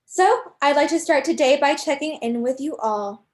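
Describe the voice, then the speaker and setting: A woman playing a firm, stern doctor who leads group therapy in a staged play reading, questioning in a measured way. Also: sits high, a little mellow, natural voice. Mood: composed.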